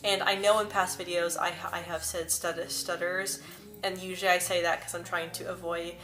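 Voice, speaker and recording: A woman's voice: on the low side, flat delivery, normal speed. A young woman vlogging to camera in a casual, earnest way, stuttering often with repeated sounds and words. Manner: earnest and personal. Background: soft background music.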